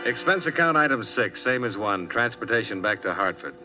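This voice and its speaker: An actor playing a world-weary insurance investigator in a 1950s radio detective drama, narrating tersely and dryly. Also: male voice, lowish voice, expressive, fairly quick, lightly acted. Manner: terse hard-boiled narration, dry and tired. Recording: dull old radio transcription with music.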